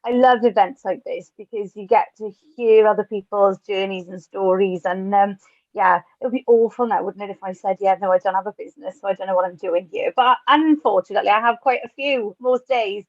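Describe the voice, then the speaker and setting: A woman's voice: medium pitch, brisk, dry recording, lo-fi sound. Chatty Welsh woman on a video call. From Wales. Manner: chatty, self-deprecating, with light asides. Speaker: webinar speaker. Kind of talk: personal talk at an online event.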